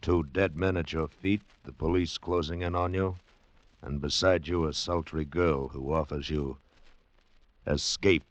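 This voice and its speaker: A man's voice: extremely low pitch, steady tone, unhurried. A man announcing a scripted drama in a grave, portentous 1940s radio style. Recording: muffled 1940s radio recording.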